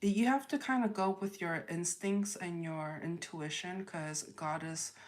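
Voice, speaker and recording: Female livestream tarot host: lowish voice, unhurried, halting spiritual chat, repeats phrases while thinking aloud. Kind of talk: spontaneous spiritual reading, chatting with live viewers.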